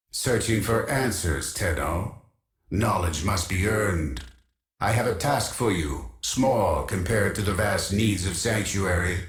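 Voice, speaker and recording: An actor playing an imperious artificial intelligence archivist in a video game, speaking in a formal, pompous, deliberate way, obsessed with knowledge. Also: male voice, lowish voice, echoey room. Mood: grandly commanding. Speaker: quest-giving game character. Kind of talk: scripted video game voice acting.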